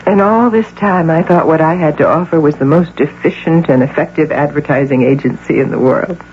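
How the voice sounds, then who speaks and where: A woman's voice: low-pitched, a little fast, close to an everyday voice. Poised, guarded American career woman played by an actress. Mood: arch, guarded. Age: middle-aged. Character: poised, guarded middle-aged female advertising executive. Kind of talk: old-time radio drama acting. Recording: dull hissy radio drama recording with hum.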